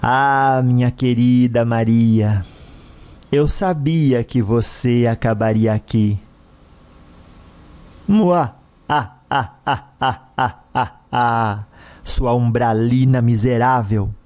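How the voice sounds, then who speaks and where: A man's voice: medium pitch, a little mellow, natural voice. A man voicing a cruel, vengeful dead husband in an audio story, a mocking spirit with a sneering, menacing manner. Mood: mocking, gloating. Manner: menacing, sneering, with drawn-out villain laughs.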